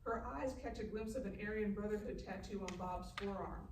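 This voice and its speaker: A woman's voice: mid-range voice, fairly even intonation, a little fast, distant mic, background noise. Woman reading stage directions, echoey live room. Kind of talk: scripted narration at a live table read. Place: live festival event room.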